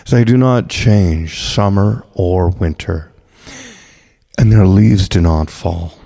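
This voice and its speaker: A man reads scripture solemnly, with very slow, deliberate and dramatic phrasing. His voice has a heavy creak and gravel to it. It rings out in a long, cavernous reverb. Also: on the low side, warm tone. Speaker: reader of a spiritual text.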